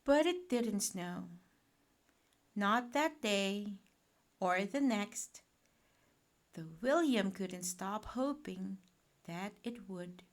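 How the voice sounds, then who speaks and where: A woman reading a children's bedtime picture book aloud in a gentle, expressive, deliberate manner. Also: medium pitch. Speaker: storyteller.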